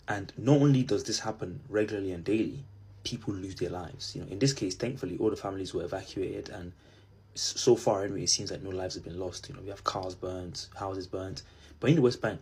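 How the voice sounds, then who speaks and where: A man's voice: deep voice, darkish tone, breathy edge. A man from Israel giving an impassioned political commentary, with bursts of emphasis.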